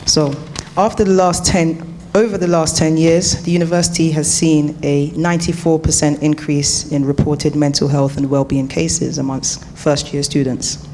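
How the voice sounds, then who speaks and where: Student union officer, careful prepared questioner, mid pitch. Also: flat delivery, moderate pace, darkish tone, slight background noise.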